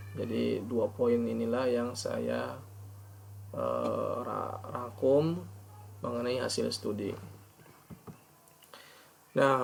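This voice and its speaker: A man giving an academic presentation as a student, formally reading out points and then explaining them haltingly. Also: mid-range voice, gravelly. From Indonesia. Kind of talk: academic presentation of an article review.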